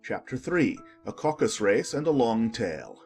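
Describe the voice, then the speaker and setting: A man narrating a children's classic as an audiobook, in expressive scripted storybook style. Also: mid-range voice, moderate pace, faint music behind.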